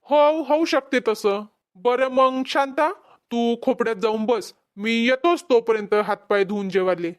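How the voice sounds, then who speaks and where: A woman's voice: a little high, unhurried, smooth, lightly acted. A woman voicing a concerned, reasoning village farmer husband in a cartoon comedy, with a Vidarbha Marathi accent. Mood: calm. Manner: placating, explaining, gently chiding.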